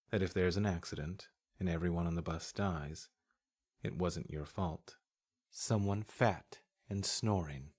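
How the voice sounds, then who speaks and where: A man's voice: sits low, a little mellow, breathy edge. A man working as a voice-over artist, reading scripted literary narration.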